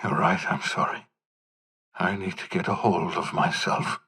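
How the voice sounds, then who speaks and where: An actor playing an eccentric, embittered old scientist rebel in a video game, with a raspy voice and a grandiloquent, melodramatic way of talking. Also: male voice, low-pitched, level tone, a little slow, pushed and bright, full character voice. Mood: sorrowful, resigned. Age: older. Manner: grandiloquent, wordy, scornful and melodramatic. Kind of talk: theatrical video game character acting.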